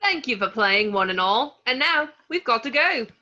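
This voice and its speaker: Girl doing cocky prankster teen boy, bright punchy voice, dull home mic, amateur table read. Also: fairly high pitch, sing-song, moderate pace. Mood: gleeful. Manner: cheeky, breezy. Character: cocky, mischievous teenage twin brothers. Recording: slightly dull lo-fi home microphone.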